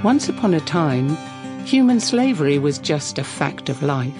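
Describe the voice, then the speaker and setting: Ad read, woman, slightly low pitch, upbeat persuasive, music bed. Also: big pitch swings, moderate pace. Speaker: advert voice-over. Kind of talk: scripted radio advert.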